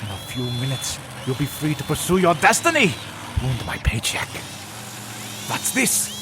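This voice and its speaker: An actor playing a scheming, menacing German villain with a German accent in a comedic audio drama. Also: male voice, mid-range voice, very animated, moderate pace, background noise, lightly acted. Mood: scheming, gloating. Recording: music behind the voice.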